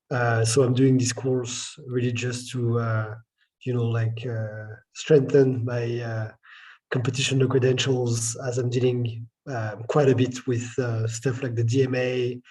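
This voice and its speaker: Mellow, even-toned man. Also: mid-range voice, poor audio. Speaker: course participant, policy manager. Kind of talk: casual self-introduction. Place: online class call.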